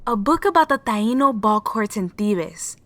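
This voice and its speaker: A woman's voice: on the high side, expressive, unhurried, soft background music. A woman playing a friendly, knowledgeable shopkeeper in an audio drama. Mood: helpful.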